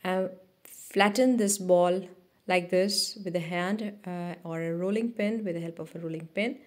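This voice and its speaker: A woman narrating a cooking video as a voice-over, giving steady step-by-step instructions with small restarts. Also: lowish voice, a little slow. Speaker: cooking channel host.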